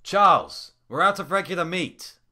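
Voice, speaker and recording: A man voicing a harried, exasperated male fast-food cook in a story reading. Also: high voice, expressive, no room sound, studio quality, voice pushed up, full character voice. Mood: alarmed shouting. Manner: loud, gritted, cursing.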